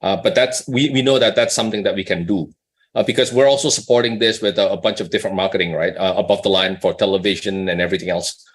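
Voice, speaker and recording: A man's voice: low-pitched, fast. A man speaking as a company executive on an earnings call, explaining in a conversational way with rhetorical questions and check-ins with the listener.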